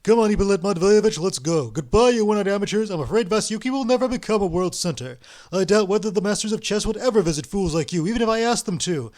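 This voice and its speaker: Guy doing a cocky con man voice, real high, loud and mocking, big storybook swagger. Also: expressive, quick pace. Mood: gloating. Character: brash, cheeky young male con man. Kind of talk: audiobook character voice.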